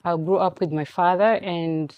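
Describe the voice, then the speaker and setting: A woman from Uganda, a guest on a talk show, speaking in a soft, hesitant way. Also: fairly low pitch, fairly even intonation, normal speed, no room sound, highs cut off. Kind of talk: casual interview.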